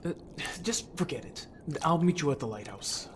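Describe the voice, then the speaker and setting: A man's voice: on the high side, expressive, moderate pace, background noise, natural voice. A man playing a youthful, eager but evasive merman in an audio story, talking in a casual, informal way. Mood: withdrawn, evasive. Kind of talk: audio drama roleplay.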